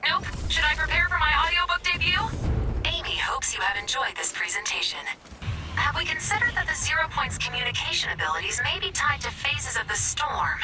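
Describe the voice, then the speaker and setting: A sardonic, nerdy game sidekick. She is high and very lively in pitch, teasing and theatrical, and sounds set back from the mic with music playing behind her. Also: brisk, distant mic, poor audio. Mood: dry, teasing. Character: sardonic, nerdy female research assistant. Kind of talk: video game voice acting.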